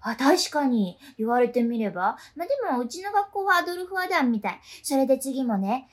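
Woman acting a high-voiced, quirky schoolgirl. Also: big pitch swings, fairly quick. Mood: eerie, eager. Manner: guileless, eager storytelling. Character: friendly, quirky, earnest teenage schoolgirl. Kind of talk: conversational radio drama acting.

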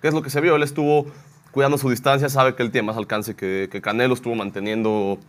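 Un hombre con acento mexicano que conduce un programa deportivo, opinando con vehemencia y bromeando. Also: male voice, medium pitch, level tone, quick pace. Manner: opinionated, jokey, heated. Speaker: sports show host. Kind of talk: casual sports chat.

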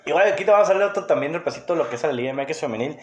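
Man, Mexican accent, slightly high voice, casual sports chat, emphatic and repetitive, echoey noisy recording. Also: expressive, racing. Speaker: podcast co-host. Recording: echoey room, noticeable background noise.

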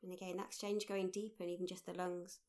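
A woman leading a guided mindfulness meditation, speaking gently in short, unhurried phrases with pauses. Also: on the low side, little pitch movement. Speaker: meditation guide, workshop leader.

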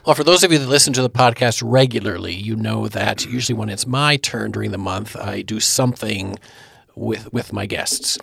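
A man's voice: fairly low pitch, normal speed, gravelly, a bit bright, breathy edge. A man hosting a church podcast in a chatty, friendly way, with lots of fillers. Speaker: podcast host. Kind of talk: casual church podcast chat.

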